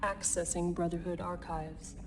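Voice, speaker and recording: A woman's voice: fairly low pitch, fairly even intonation, unhurried, recorded from a distance, natural voice. A woman voicing an impersonal military computer announcer in a video game, reading orders and propaganda formally, in clipped phrases. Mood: solemn. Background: music.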